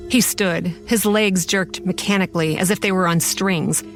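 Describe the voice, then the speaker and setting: A woman performs a sardonic, haunted young woman narrating her own horror story. Her voice is slightly low, confiding and wry. Music plays clearly behind her. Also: fairly even intonation, moderate pace, lightly acted. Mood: somber.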